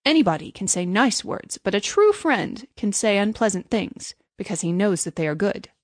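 A woman's voice: medium pitch, sing-song, normal speed, no echo. A woman voicing a pompous, greedy male miller in a storybook, preachy and self-satisfied while posing as generous. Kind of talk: storybook character voice.

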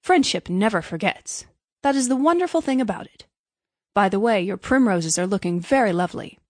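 A woman's voice: medium pitch, lively intonation, brisk, a little mellow, no echo, lightly acted. Woman voicing a pompous, preachy storybook miller man, very dry sound. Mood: smug, scolding. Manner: preachy, self-satisfied, emphatic. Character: pompous, self-righteous, greedy male miller, falsely generous. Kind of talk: storybook character voice.

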